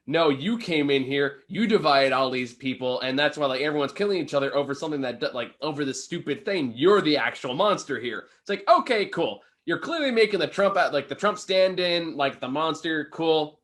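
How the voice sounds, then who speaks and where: A man with a slightly high-pitched voice talks through a film review. He is opinionated and casual, chatting with a co-host and swearing without fuss. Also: animated, fairly quick, hardly any pauses, a bit bright.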